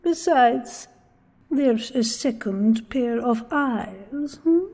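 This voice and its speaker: A grand, maternal stage diva, teases in a comic audio drama. Her fairly high voice takes its time and rings in a long castle reverb. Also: animated, drawn-out words, a little mellow, full character voice. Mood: teasing. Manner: languid, teasing, grandly theatrical. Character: theatrical female castle performer, teasing, maternal prima donna. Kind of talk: comedic audio drama acting.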